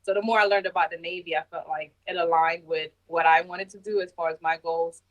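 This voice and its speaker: A woman from Jamaica, raised in Brooklyn, talking as a podcast guest in an unhurried, reflective way. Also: fairly low pitch, fairly even intonation, fairly bright tone, highs cut off. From Jamaica, raised in Brooklyn, New York. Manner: unhurried, reflective storytelling with light laughs. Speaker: podcast guest, Navy senior chief. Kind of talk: casual interview conversation. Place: home, remote call from abroad.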